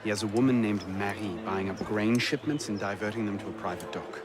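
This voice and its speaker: An actor playing a determined young assassin investigator in a game, terse and focused. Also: male voice, lowish voice, a little fast, music in the background, natural voice. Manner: clipped, focused.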